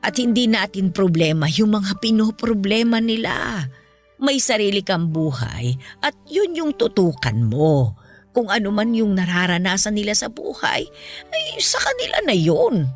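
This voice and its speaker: Woman acting a gentle, moralizing, lively-pitched mother. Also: a little slow. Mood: patient, counseling. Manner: earnest, patient, moralizing. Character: gentle, principled ailing mother counseling her grown child. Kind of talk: Filipino radio-style drama acting. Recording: music bed under the voice.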